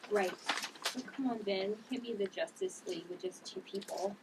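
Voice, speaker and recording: A woman's voice: medium pitch, normal speed, natural voice. A woman playing a playful young heroine with new super strength in a table read. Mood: pleading, questioning. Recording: noisy, distant room recording.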